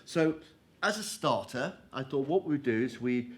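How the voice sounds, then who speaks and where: A man's voice: mid-range voice, lively intonation, measured pace. A man chairing a conference panel, talking with many fillers, restarts and "you know"s. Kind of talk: hesitant conference moderation.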